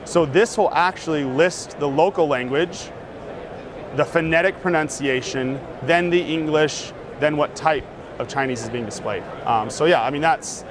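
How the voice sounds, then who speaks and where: A man casually demonstrating a gadget in an interview, relaxed and full of fillers. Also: on the high side, moderate pace. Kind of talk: casual demo talk. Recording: noisy outdoor street sound.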